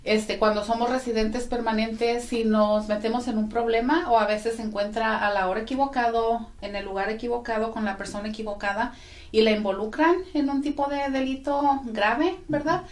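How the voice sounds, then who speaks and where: A woman's voice: fairly high pitch, fairly even intonation, moderate pace. Woman explaining legal steps in an echoey office. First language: Spanish. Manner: friendly, explanatory, frequent tag questions. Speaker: immigration legal representative. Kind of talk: informative interview talk. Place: small office with bookshelves, indoors.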